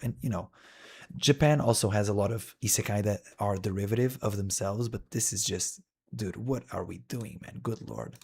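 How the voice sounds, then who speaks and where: A man's voice: on the low side, breathy edge. A male streamer talking casually and wryly while browsing a list, rambling with frequent "dude" and "man".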